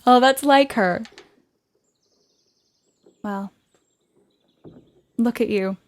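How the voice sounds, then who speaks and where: A woman acting in an audio play as an outspoken young woman writer, earnest and expressive. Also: on the high side, drawn-out words. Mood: teasing.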